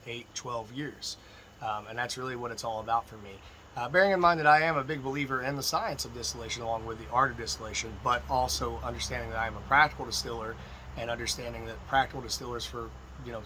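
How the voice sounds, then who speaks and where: A man with a slightly froggy, hoarse voice gives informal, emphatic instruction as a distilling teacher talking to viewers. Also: mid-range voice, pushed and bright, a little hiss or hum, low-quality recording. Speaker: distilling instructor and video host. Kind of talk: informal instructional talk to camera.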